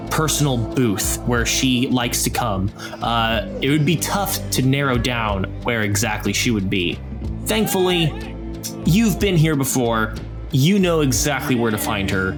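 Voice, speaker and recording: Lively, unhurried male game master. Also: mid-range voice, over music. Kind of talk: improvised storytelling narration.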